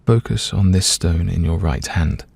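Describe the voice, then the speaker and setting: A man gently and calmly leading a scripted guided meditation, letting his voice soften at the ends of phrases. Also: deep voice, a little slow, gravelly, slightly rough, no room sound. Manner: gentle, calm, soothing, hushed at phrase ends.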